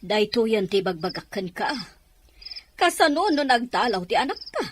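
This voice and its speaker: A woman's voice: fairly high pitch, very animated, normal speed, a little hiss or hum. An actress playing an anxious, reproachful middle-aged mother in a radio drama, speaking fretfully. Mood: worried. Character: anxious, reproachful mother worried about her missing daughter.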